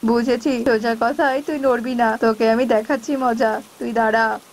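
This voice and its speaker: Casting: woman as selfish, bullying male bird neighbour for cartoon fable, scolding and wheedling, highish pitch. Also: fairly even intonation, normal speed, slight background noise, natural voice. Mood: threatening. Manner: scolding, wheedling when in need. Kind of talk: animated folk tale voice acting.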